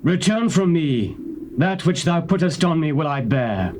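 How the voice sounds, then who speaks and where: A man's voice: on the high side, sing-song, unhurried, a little mellow. An actor with a British accent playing a humbled, submissive king, pleading solemnly in a theatrical biblical drama style. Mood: contrite pleading. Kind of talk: theatrical dramatized scripture reading. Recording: old cassette with faint hiss.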